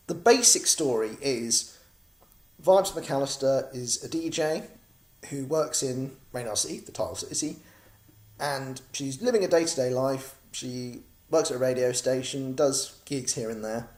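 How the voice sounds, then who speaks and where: A man's voice: mid-range voice, expressive, measured pace, darkish tone, reverberant. An English man from Norwich giving a casual, rambling update to backers of his comic project, with many ums and restarts. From Norwich, England.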